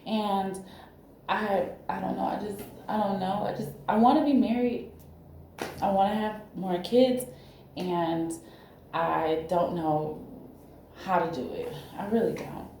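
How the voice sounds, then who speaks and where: A woman in her thirties speaks haltingly and anxiously, as if opening up to a counselor. Her voice is mellow and dark. The recording is very echoey, with faint background noise. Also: medium pitch, steady tone. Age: 30s. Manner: halting, anxious, searching for words. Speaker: counseling client in a role-play. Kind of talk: emotional personal disclosure.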